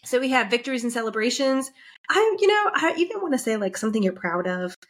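A woman's voice: fairly high pitch, sing-song, fast talker. A woman co-hosting a podcast who sounds congested and nasal, upbeat as she runs through the agenda. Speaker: podcast co-host. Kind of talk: casual chat.

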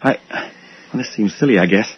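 An actor playing a nervous, apologetic, hesitant dental patient with a husky voice in a 1980s radio drama. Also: male voice, fairly low pitch, brisk, no echo. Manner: hesitant, stammering. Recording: dull old radio recording.

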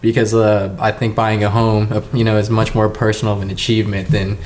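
A man from Texas, a podcast guest in a garage studio, talking in a relaxed, easygoing way with many fillers. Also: lowish voice, a little fast, slight background noise. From Texas, United States. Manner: relaxed, easygoing, many ums and you-knows. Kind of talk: casual interview chat.

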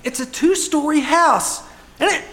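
Bright, very high-voiced teenage son, acted by a man. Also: unhurried, exaggerated voice. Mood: excited. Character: argumentative, cheeky teenage son of the family. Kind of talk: old-time radio comedy acting.

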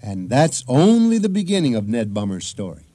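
A man's voice: mid-range voice, huge pitch swings, normal speed, loud music behind the voice. A man narrating a radio drama, solemnly setting the scene of a ceremony.

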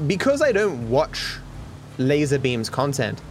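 A man's voice: medium pitch, wildly swooping pitch, unhurried, slight background noise. Tired man with a cultivated Australian accent. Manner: musing, trailing off with fillers and restarts. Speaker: streamer answering viewer questions. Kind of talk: casual rambling Q&A.

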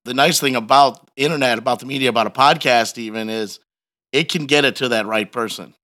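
A male podcast host speaks in a bright, punchy voice at a middle pitch. He chats casually with a guest, using slang and leaning hard on his points. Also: fairly quick. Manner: emphatic, slangy. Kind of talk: casual conversational interview.

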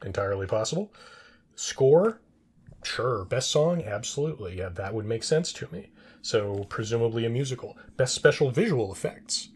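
A middle-aged man in a casual trivia discussion, rambling and thinking out loud as he talks. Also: mid-range voice, lively intonation, moderate pace, a little hiss or hum. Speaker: podcast co-host.

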